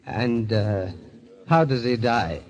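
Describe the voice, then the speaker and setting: A man's voice: mid-range voice, animated, slow. An actor playing an uneasy, polite man recounting his entry into a sinister world, in grave 1940s radio style. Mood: uneasy, hesitant. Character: uneasy, hesitant man drawn into a death club, narrating. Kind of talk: 1940s radio acting, first-person mystery narration. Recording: dull, lo-fi vintage radio recording.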